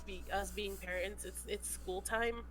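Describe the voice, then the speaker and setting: A woman's voice: medium pitch, steady tone, measured pace. A young woman co-hosting a podcast, her voice stuffy and congested from the flu, talking in an easygoing, agreeable way. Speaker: podcast co-host. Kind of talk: casual chat.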